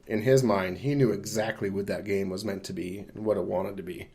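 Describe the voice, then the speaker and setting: Male podcast co-host, warm dark tone, slightly low, conversational, halting and measured. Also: steady tone. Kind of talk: casual discussion of a film and games.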